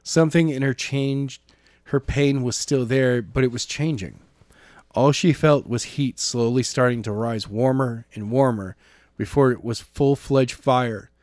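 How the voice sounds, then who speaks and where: A man narrating a novel chapter in a measured, amateur audiobook style. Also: mid-range voice, a little mellow, dry recording, clean studio sound. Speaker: podcast narrator and co-host.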